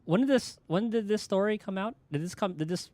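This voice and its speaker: A man's voice: high-pitched, fairly quick, dark tone. A man livestreaming a game with casual, off-the-cuff, rambling commentary. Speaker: game streamer. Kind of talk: casual live game commentary, reading dialogue aloud and chatting. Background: game music.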